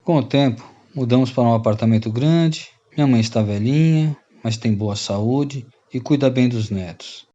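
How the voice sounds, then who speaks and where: A man acts out a reformed Brazilian freeloader confessing to old friends in a radio play. His voice is dark and mellow. The recording is slightly dull and lo-fi. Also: mid-range voice, moderate pace, hardly any pauses, lightly acted. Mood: earnest. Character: repentant rogue and former freeloader confessing to friends. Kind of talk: radio drama storytelling.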